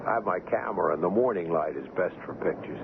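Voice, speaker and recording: Deep-voiced, lively gentleman doctor. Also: creaky, noisy background. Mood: polite, curious. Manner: polite, measured. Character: courteous, gentlemanly doctor, a curious ship's passenger. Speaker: radio drama actor and narrator. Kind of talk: 1970s radio drama acting and narration. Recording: tinny old radio broadcast.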